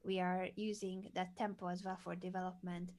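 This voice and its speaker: A woman's voice: on the low side, flat delivery, moderate pace, a little mellow. A woman coaching chess, patiently asking guiding questions and explaining plans.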